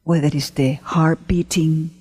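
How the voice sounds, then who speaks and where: A woman leading a guided meditation as a teacher, gentle and unhurried, pausing often between short phrases in a breathy voice. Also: low-pitched, fairly even intonation. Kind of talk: guided meditation, spoken slowly to listeners. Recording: long hall-like reverb.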